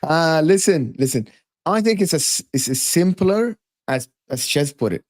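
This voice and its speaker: A man's voice: on the high side, lively intonation, measured pace. A man from Egypt insistently pressing his point in a heated football debate. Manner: insistent, interrupting. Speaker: panelist.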